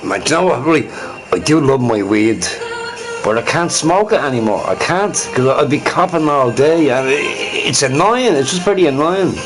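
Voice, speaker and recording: A man's voice: mid-range voice, animated, normal speed, music in the background, low-quality recording. A man streaming on his own, chatting casually in a rambling, profane way and laughing often. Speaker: livestreamer. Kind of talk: rambling solo stream chat.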